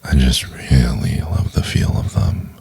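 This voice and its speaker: Very deep, gravelly seductive professor played by a man. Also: slight background noise, full character voice. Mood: intimate, adoring. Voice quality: breathy, gravelly, very low, often near whisper.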